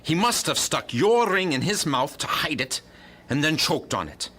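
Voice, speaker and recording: An actor playing a gruff, scowling, cigar-chewing police detective in a radio crime play, with a blunt, commanding manner. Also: male voice, a little high, big pitch swings, moderate pace, bright, forceful tone, heavily acted. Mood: smug, accusing.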